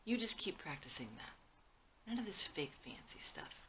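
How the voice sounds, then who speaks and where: A woman voicing a kind, dignified grandfather in his eighties, gentle and wise, in a screenplay read-through. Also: lowish voice, big pitch swings, normal speed, in a whisper, voice pushed up. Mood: kindly advising.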